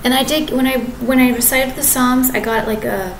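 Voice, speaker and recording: A woman in her thirties talking to a webcam in her apartment, rambling and halting, often restarting and correcting herself. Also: sits high, steady tone. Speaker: vlogger. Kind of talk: rambling direct-to-camera monologue. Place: apartment living room with kitchen behind. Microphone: webcam at desk distance. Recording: echoey room with background noise.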